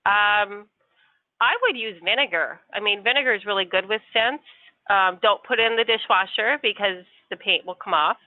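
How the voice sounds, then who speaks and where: A woman's voice: mid-range voice, moderate pace, no echo. A woman working customer service, answering politely and patiently with some hesitation. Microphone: phone. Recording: tinny dry phone line.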